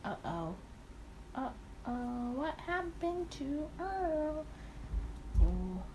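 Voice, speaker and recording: Woman with lively, swooping pitch. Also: very slow, noisy background. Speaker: gaming video host. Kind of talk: casual playthrough commentary, reading game text aloud.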